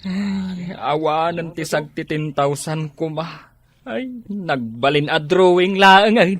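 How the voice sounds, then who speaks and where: A man's voice: fairly high pitch, slow pace, fairly bright tone, no echo. A man with a Filipino Ilocano accent playing a nagging yet idle village drinking buddy in an amateur comedy drama. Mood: dismayed.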